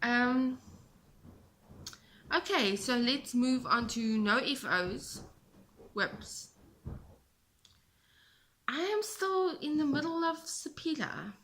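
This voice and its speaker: A woman's voice: high voice, animated, slow pace, pauses often, fairly bright tone, a little hiss or hum. A South African woman whose first language is Afrikaans, hosting a knitting podcast in a rambling, self-deprecating chat from home. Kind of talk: casual chat to camera. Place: home, indoors. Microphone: camera at a short distance.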